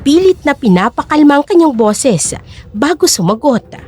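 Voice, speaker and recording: A woman's voice: sits high, sing-song, moderate pace, a little mellow, soft background music. A woman narrating a scripted audio drama in Tagalog in a dramatic storytelling style.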